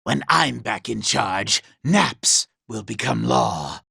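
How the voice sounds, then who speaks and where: A male actor playing a vain, scheming, power-hungry robot commander in a video game, imperious and theatrical, with a raspy, gravelly voice. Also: medium pitch, animated, slow, bright, forceful tone, no echo, clean studio sound, exaggerated voice. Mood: sly, scheming. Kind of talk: video game villain voice acting.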